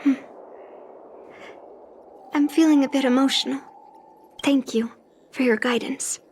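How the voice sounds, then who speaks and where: A woman's voice: high-pitched, steady tone, measured pace, darkish tone, slight background noise. An actress voicing an earnest, sincere young swordswoman and granddaughter in a video game, a little hesitant. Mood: tender, grateful. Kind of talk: English video game voice acting.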